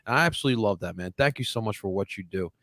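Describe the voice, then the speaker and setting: A man's voice: lowish voice, very fast, no room sound, clean studio sound. A man hosting a sports podcast over a video call, chatting casually and hyping up the fans. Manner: excitable, hypes up fans. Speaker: podcast host.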